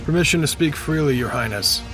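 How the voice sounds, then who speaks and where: A man's voice: on the high side, fairly quick, music in the background, close to an everyday voice. A man playing a loyal, defiant military captain in an audio drama, with clipped, formal soldierly speech. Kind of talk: fan audio drama acting.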